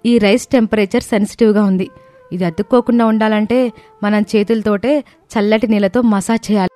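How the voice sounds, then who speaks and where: A woman's voice: medium pitch, fairly even intonation, fairly quick, dark tone, soft background music. A woman reading the lines of a family story, voicing the daughters-in-law and the mother-in-law in turn. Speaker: storyteller voicing all characters.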